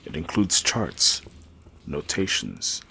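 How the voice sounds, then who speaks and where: A man playing a learned, guarded older mage in a fantasy audio drama, speaking formally and deliberately in a gravelly, husky voice. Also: rumbling low, sing-song, bright, forceful tone, a little hiss or hum, heavily acted. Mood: guarded, reflective. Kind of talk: fantasy audio roleplay acting.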